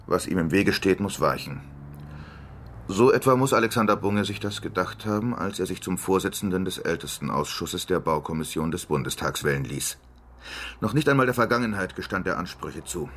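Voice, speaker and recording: A man's voice: low-pitched, animated, brisk, a bit bright, a little hiss or hum. An actor playing a journalist reading his political exposé in a radio play, pointed, rhetorical and emphatic. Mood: pointed, accusing. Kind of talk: dramatic reading of a written exposé.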